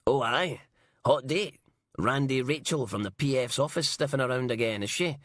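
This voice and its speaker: A man's voice: medium pitch, normal speed, dry recording, voice pushed up, natural voice. A man reading an audiobook and voicing a crude, sarcastic female police inspector. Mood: mocking.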